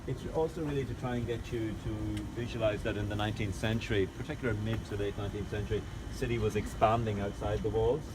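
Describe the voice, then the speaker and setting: A man's voice: on the low side, fairly even intonation, fast talker, hardly any pauses. A middle-aged man with a Northern Irish accent leading a walking tour of his city, a chatty storyteller who jokes with his group. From Northern Ireland. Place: outdoors on city streets. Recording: street noise outdoors.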